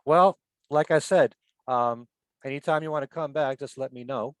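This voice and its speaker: Relaxed man hosting, dry close sound. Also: medium pitch, moderate pace, dry recording. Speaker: radio and podcast host. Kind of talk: casual interview chat. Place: lives in Connecticut.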